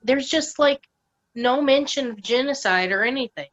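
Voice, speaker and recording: An actress playing a blunt, casual, outspoken board member in a staged play reading over a video call. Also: female voice, sits high, normal speed, fairly bright tone, dull audio.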